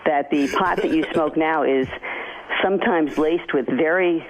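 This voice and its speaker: Woman, phone interviewee, earnest, patient, clearly noisy recording. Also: sits low, a little slow.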